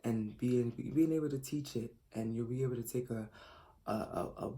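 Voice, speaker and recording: A man in his late twenties from Philadelphia, speaking earnestly in a self-recorded audition pitch as a fashion designer. He hesitates a lot, with many fillers and restarts. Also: moderate pace, dark tone. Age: late 20s. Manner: earnest, self-described shy and reserved.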